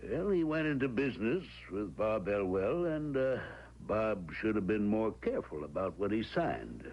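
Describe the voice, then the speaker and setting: A man's voice: lowish voice, sing-song, moderate pace, a little hiss or hum. An actor playing an uneasy man who explains a business deal with hesitant 'well's and 'uh's in an old-time radio drama. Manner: hesitant, full of 'well' and 'uh'. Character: uneasy small-town man, hesitant and evasive. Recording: dull lo-fi old radio recording.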